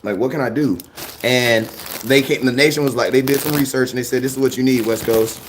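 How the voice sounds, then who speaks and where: A young man hosting a casual fan show, talking in slang and repeating himself when excited. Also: mid-range voice, a little fast, noisy background. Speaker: sports fan show host. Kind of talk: casual live-stream chat.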